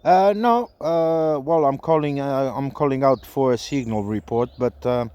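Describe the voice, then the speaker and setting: A man making halting, repetitive radio calls and casual commentary during an outdoor antenna test. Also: mid-range voice, warm tone, slight background noise. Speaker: amateur CB radio operator testing an antenna. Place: outdoors in a park.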